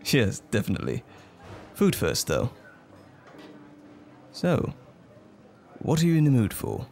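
A man playing a gentle, bashful romantic date in an ASMR roleplay, speaking tenderly and a little hesitantly. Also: medium pitch, wildly swooping pitch, slow pace, creaky, exaggerated voice. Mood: warm, courteous. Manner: tender, hesitant, lightly chuckling flirtation. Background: music.

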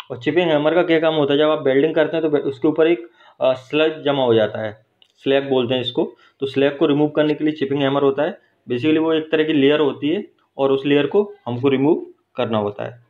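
A man's voice: a little high, steady tone, a little fast. Man explaining in a workshop. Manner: explains step by step, checks in with "ठीक है" and "है ना". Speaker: teacher. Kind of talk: hands-on technical explanation. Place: welding workshop. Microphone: phone held while filming. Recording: dull lo-fi phone recording.

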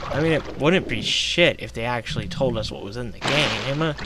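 Hoarse man ranting over gameplay. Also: on the high side, noisy background. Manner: profane, indignant ranting. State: losing his voice. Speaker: gaming vlogger. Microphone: gaming headset.

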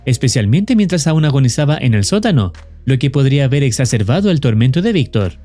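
A man giving scripted explanatory narration as a horror video narrator, measured and formal. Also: on the high side, dark tone. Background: background music.

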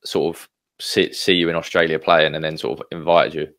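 A man hosting a casual interview, prompting his guest with friendly questions and quick affirmations. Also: very deep voice, flat delivery, fairly bright tone. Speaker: podcast host.

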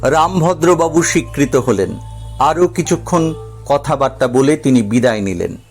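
A man narrating a scripted dramatic story in a measured, literary storytelling manner. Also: medium pitch, animated, faint music behind.

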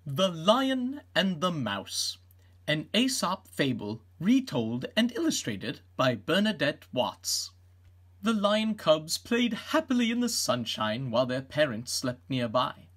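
A middle-aged man reading a children's storybook aloud as an expressive narrator. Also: a little high, takes it slowly.

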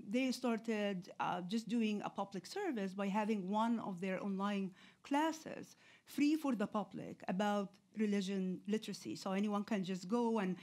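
A woman in her forties, originally from Egypt, giving a public lecture in a hall with a lapel mic, talking conversationally with many pauses and fillers. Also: mid-range voice, a little mellow. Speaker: guest lecturer. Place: lecture hall with seated audience.

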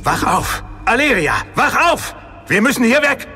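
A man's voice: very high-pitched, sing-song, a little slow, music in the background, heavily acted. An actor playing a devout, resolute male paladin in an audiobook drama, with a solemn, intense manner. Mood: urgent alarm. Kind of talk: audiobook drama acting in German.

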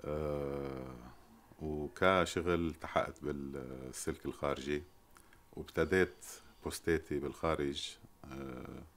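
A man in his fifties with a Lebanese Arabic accent, an ambassador answering in an interview in a measured, hesitant, formal manner. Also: a bass voice, steady tone, a bit bright. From Lebanon and Sierra Leone. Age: 50s. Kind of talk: interview, recounting his career. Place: indoors, residence sitting room.

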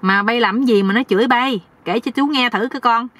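A woman's voice: fairly high pitch, brisk, fairly bright tone, no echo, voice pushed up. A woman voicing a nosy, bragging older village uncle who scolds bluntly like a know-it-all in a comic story. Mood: nosy surprise.

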